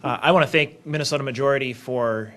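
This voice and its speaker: A man's voice: medium pitch, fast, noisy background. A man speaking as a politician, giving remarks at a press conference.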